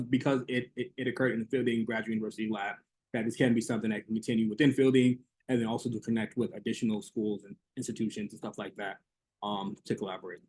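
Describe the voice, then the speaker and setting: A man's voice: mid-range voice, a little mellow. A man presenting research in an academic Q&A on a video call, talking hesitantly with many fillers and restarts.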